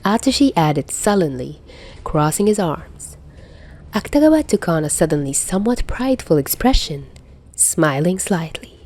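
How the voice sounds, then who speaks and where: A woman reading a story aloud as narrator in an expressive scripted style. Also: fairly low pitch, moderate pace. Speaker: fan fiction narrator.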